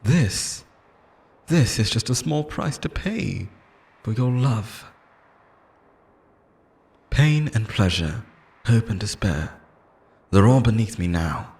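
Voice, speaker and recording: A man's voice: fairly low pitch, animated, takes it slowly, long pauses, darkish tone. A man playing an obsessive immortal lover in an audio roleplay, theatrical and intense, shifting between pleading tenderness and menace. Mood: unhinged, tender menace. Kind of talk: dramatic ASMR-style audio roleplay monologue.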